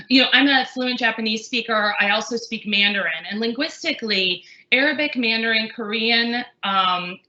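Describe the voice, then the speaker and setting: Bright-voiced woman, echoey dull call. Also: on the high side. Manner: earnest, many fillers and restarts. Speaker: language trainer. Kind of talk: webinar panel discussion.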